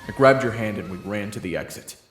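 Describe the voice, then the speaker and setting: A man's voice: on the low side, animated, a little fast. A man narrating an audio roleplay, telling the action to the listener in the second person and swearing now and then. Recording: echoey room, loud music underneath. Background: loud game soundtrack music.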